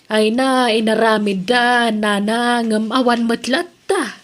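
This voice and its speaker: A woman acting as a talkative village mother in an Ilocano radio drama. She has a somewhat high voice and an Ilocano accent. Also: slow. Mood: chatty.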